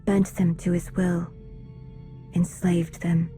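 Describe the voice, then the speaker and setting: An actress playing a solemn, reserved alien woman in a video game, speaking in a measured, formal way. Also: lowish voice, little pitch movement, over music. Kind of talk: video game cutscene dialogue.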